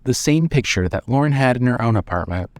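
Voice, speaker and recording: A man narrating a scripted dramatic story in a measured reading manner. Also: medium pitch, expressive.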